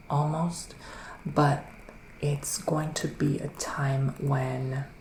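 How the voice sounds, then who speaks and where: A man performing a soft-spoken, often whispered ASMR roleplay as a psychic witch, musing in a hesitant, drawn-out, mystical way. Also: on the high side, creaky, roomy sound, a little hiss or hum. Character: psychic male witch giving a mystical reading.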